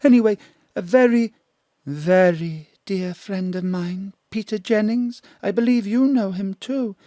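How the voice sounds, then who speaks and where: An actress playing a seductive, melodramatic gangster's widow in a noir-parody audio drama, pleading and flirtatious. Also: female voice, medium pitch, measured pace, dark tone, no echo, studio quality, close to an everyday voice.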